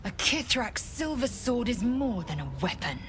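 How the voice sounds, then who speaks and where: A woman's voice: a little high, sing-song. A woman playing a fierce, devout githyanki warrior in a video game, speaking formally and with reverence. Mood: grave, instructive. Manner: solemn, measured, formal, reverent. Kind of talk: dramatic video game acting. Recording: music behind the voice.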